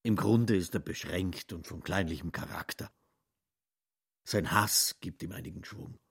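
Very raspy, low mocking commentator. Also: male voice, measured pace, a bit bright, no echo, studio quality, heavily acted. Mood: disdainful. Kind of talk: radio play narration.

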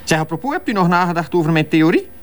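An actor playing a chatty, jovial doctor in a radio drama, speaking with a Flemish accent and coaxing tag questions. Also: male voice, on the high side, sing-song, fast talker. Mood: chatty, confiding. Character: chatty, jovial male doctor, fan of crime novels.

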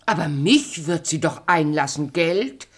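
A woman's voice: deep voice, lively intonation, measured pace, slightly rough, full character voice. A woman playing a wicked queen posing as a sweet, wheedling peddler in a radio play. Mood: sly, wheedling.

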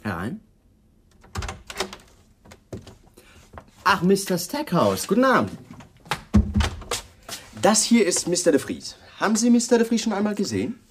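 A man's voice: sits high, huge pitch swings, normal speed, pauses often, over music. An actor playing a courteous, probing male homicide lieutenant in a radio crime play, formal and businesslike. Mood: polite, pointed. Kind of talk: 1980s radio play acting.

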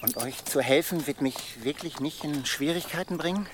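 An actor in a German radio drama playing a wary, hesitant medieval travelling merchant. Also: male voice, on the high side, normal speed, noisy background, natural voice. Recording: clear outdoor ambience with birds.

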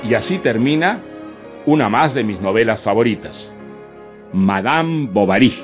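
A man's voice: mid-range voice, lively intonation, unhurried. A man presenting a radio programme in a scripted, formal delivery. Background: music.

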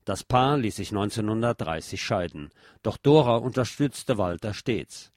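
A man's voice: lowish voice, lively intonation, measured pace, a little mellow, dry recording, studio quality. A man reading a scripted biographical narration.

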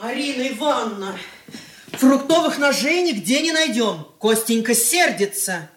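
A bustling, harried woman of the household in a Soviet radio drama. She complains in a mid-pitched voice with lively swings, in a roomy space. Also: a little slow. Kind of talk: Soviet radio play acting.

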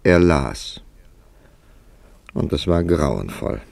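A wry, worldly man of letters narrates a literary radio play. His deep voice is creaky and moves widely in pitch, with measured, dramatic phrasing. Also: lively intonation, a little mellow, no echo, heavily acted. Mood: reflective narration. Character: older established male writer, wry, worldly narrator of his tale. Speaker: narrator and lead character.

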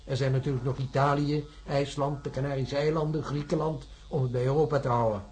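Actor as mellow, resigned male scientist. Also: medium pitch, little pitch movement, brisk, natural voice. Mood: matter-of-fact. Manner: pragmatic, reasoning. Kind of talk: science fiction radio drama.

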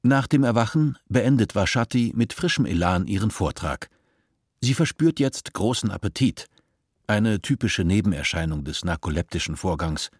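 A man narrating a radio drama in an even, measured storytelling voice. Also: on the low side, breathy edge, dry recording, clean studio sound. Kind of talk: scripted narration.

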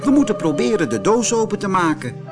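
A man's voice: sits high, very animated, a little fast, over music, voice pushed up. A man voicing worried toy dolls who speak urgently in a storybook. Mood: urgent, concerned. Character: worried toy dolls, urging action, high-voiced.

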